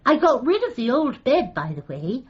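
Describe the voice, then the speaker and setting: An actress playing a meek, earnest dog owner with a hesitant, apologetic manner in a radio comedy drama. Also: female voice, high-pitched, sing-song, normal speed, husky, highs cut off, full character voice.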